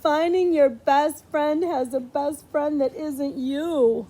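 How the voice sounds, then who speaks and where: A middle-aged woman reading a picture book aloud to a child, playfully animated, with dramatic pauses and chatty asides. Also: high-pitched, poor audio. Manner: playful, dramatic pauses before key words.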